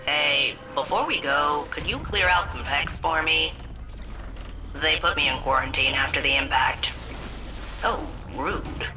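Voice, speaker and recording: Moody slacker of a female AI, very low voice, deadpan sarcasm, video game, loud music underneath. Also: a little slow. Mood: sullen, sarcastic. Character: sardonic, moody female ship AI, world-weary slacker. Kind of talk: video game character dialogue.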